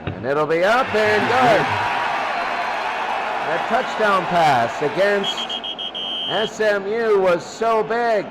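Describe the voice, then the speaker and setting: A man doing excitable play-by-play commentary of a football game, repeating phrases and drawing out his calls. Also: high voice, slow, pauses often, crowd noise, background noise. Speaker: sports commentator.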